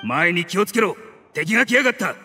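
A man voicing a loyal, gruff mercenary squad leader in a game, speaking in a commanding tone. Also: high-pitched, big pitch swings, brisk, sharp tone, faint music behind, heavily acted. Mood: urgent. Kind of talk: Japanese game voice acting.

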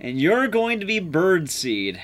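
Young male game streamer, higher register, lively swings in pitch, casual self-mocking live commentary with some swearing. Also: unhurried, slight background noise. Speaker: speedrun streamer. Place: small indoor streaming room. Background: game music.

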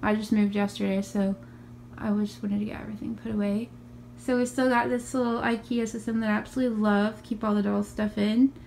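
A young woman with a raspy morning voice, chatting casually through a tour of her small bedroom, filmed on a handheld phone. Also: medium pitch, little pitch movement, brisk, darkish tone, a little hiss or hum. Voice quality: raspy from just waking up. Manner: chatty, upbeat, many ums and likes. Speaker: vlogger. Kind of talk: casual room tour vlog. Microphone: handheld phone camera.

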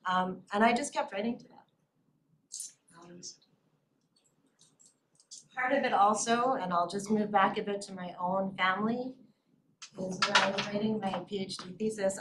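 A middle-aged woman giving an academic talk in a seminar room, reflective and quick to laugh softly. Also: mid-range voice, slight background noise, rough recording. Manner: reflective, with frequent soft laughs. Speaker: lecturer.

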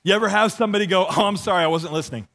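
A man's voice: sits high, very fast, creaky, a bit bright. A middle-aged man with a lisp, preaching on a church stage and telling self-deprecating stories with acted-out dialogue. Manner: walks and gestures while preaching. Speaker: pastor. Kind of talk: humorous sermon.

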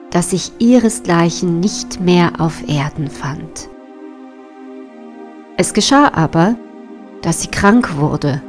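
A woman narrating a scripted fairy tale as a storyteller, in a measured, deliberate manner. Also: fairly low pitch, darkish tone.

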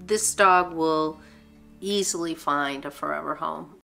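A middle-aged woman dog rescuer, seated indoors, calmly explaining her plan with soft, halting speech and fillers. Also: sits low, gravelly, soft background music.

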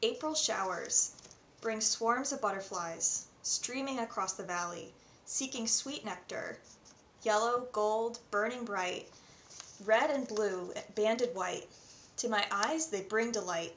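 A woman's voice: mid-range voice, slow, a bit bright. A woman in her twenties with a North American accent, presenting as an online English tutor. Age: 20s. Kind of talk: self-introduction to camera with poem readings. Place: small indoor room at home. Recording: roomy echo, faint background noise.

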